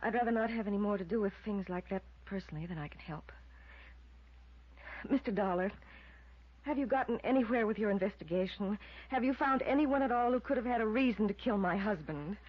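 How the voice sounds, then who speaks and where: A woman's voice: medium pitch, brisk, close to an everyday voice. A woman playing a reserved, polite widow in a 1950s radio drama, hesitant and formal. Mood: subdued, guarded. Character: reserved, polite recent widow with guarded nerves. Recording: muffled old radio recording.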